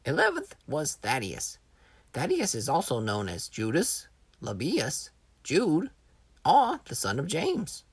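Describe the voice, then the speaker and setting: Woman, scripted children's educational narration, lowish pitch, slow delivery. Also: huge pitch swings, slightly rough, no echo. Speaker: narrator of a children's Bible lesson.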